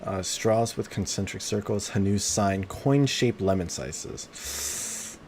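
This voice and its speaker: A man's voice: fairly low pitch, takes it slowly, a little mellow. A man streaming a game with casual, jokey, slangy commentary. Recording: noisy, game sound behind voice.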